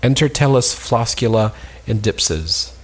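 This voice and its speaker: Man, husky voice, mid pitch, announcing stage directions, scripted amateur play reading. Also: expressive, unhurried.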